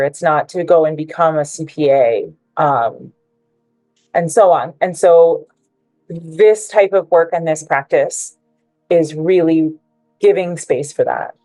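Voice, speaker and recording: A woman's voice: low-pitched, dark tone, dry recording, rough recording. A woman giving prepared, persuasive remarks as a panelist at a book presentation, joining remotely.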